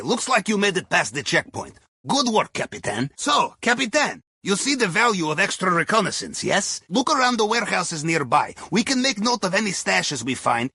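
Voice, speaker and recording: A man's voice: high voice, pushed and bright, slightly rough, dry recording, clean studio sound, heavily acted. A man playing an eccentric, cheerful Russian submariner in a video game, guiding a heist with wry, conspiratorial chatter and quaint non-native phrasing. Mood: wry, watchful. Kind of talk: video game character voice lines, mission guidance over comms.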